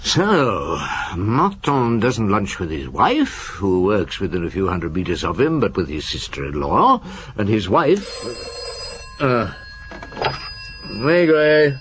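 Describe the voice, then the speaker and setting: An actor playing a weary, skeptical middle-aged police chief inspector in a radio drama, probing with grunts and pauses. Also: male voice, fairly low pitch, wildly swooping pitch, fairly bright tone, slight background noise, full character voice. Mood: wry, musing.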